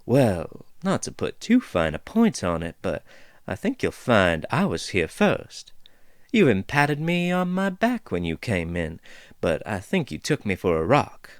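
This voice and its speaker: A man's voice: on the low side, wildly swooping pitch, unhurried, no echo, studio quality. A man voicing a chatty female dwarf who rambles in a folksy drawl, in a storybook reading. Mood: chirpy, rambling. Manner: meandering, drawling, folksy turns of phrase.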